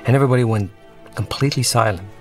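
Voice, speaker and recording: A man, a film director, giving commentary on his film in a halting way, searching for words. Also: medium pitch, over music.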